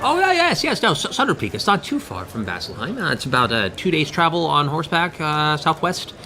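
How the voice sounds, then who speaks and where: A man voicing a friendly, flustered male amateur cartographer in a tabletop game, halting and correcting himself as he rambles. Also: a little high, wildly swooping pitch, a crowd in the background, voice pushed up. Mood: flustered, helpful.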